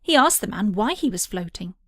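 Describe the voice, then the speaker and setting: A woman's voice: mid-range voice, big pitch swings, brisk, fairly bright tone, dry recording, clean studio sound. A woman narrating a scripted children's story as an audiobook reader.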